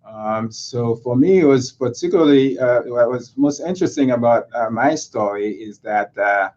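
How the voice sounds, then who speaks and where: A cardiologist originally from Haiti, speaking in an interview and pausing often with filler sounds. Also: male voice, medium pitch, a little mellow, muffled recording. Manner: hesitant, many filler sounds. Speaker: cardiologist guest. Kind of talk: interview conversation.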